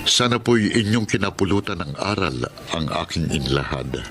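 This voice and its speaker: A man's voice: low-pitched, very animated, normal speed. An actor playing an earnest, long-absent policeman father in a melodramatic radio drama, with a Filipino accent. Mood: solemn reflective. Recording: loud music under the voice.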